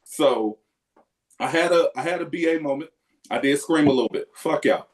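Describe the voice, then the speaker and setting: Man, co-host, casual storytelling, animated, profane. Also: on the high side, moderate pace. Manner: animated, profane, loud yelps when acting out. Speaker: podcast co-host.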